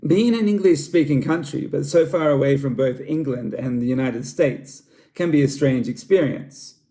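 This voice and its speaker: A middle-aged man with an Australian accent talks in a friendly, measured, explanatory way, as if presenting to students. His voice sits a little high for a man, but it has a gravelly edge and a mellow, dark tone. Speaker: school library director. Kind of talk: scripted cultural presentation for students.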